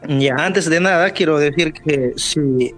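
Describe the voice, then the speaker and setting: A man from the Peruvian Amazon, Wambisa his first language, speaks a little higher than most men. He tells his story carefully and haltingly, stopping to find his words. Faint music sits behind him. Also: fairly bright tone. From Amazonas, Peru. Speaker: guest. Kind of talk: personal storytelling. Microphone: video call.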